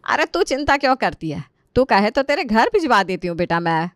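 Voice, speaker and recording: A woman's voice: a little high, very animated, fairly quick, no room sound, clean studio sound. A woman voicing a doting, hospitable middle-aged mother in a story, effusive and reassuring.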